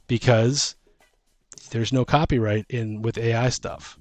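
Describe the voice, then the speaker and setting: A man's voice: medium pitch, steady tone, measured pace, darkish tone, dry recording. Dry-sounding man rambling in casual chat. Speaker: podcast host and tabletop game master.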